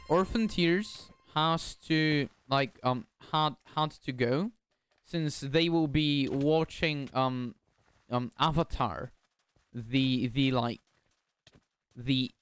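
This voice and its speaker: A man's voice: mid-range voice, clean tone, no echo. A young man from Bulgaria, chatting casually as a streamer while playing, often repeating words and asking himself confused questions. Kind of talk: casual gameplay commentary.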